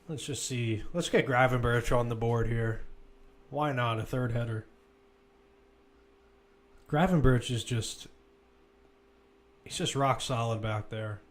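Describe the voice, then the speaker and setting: A man's voice: medium pitch, takes it slowly, pauses often. A man giving live football play-by-play commentary, describing the action as it runs, with asides and self-corrections.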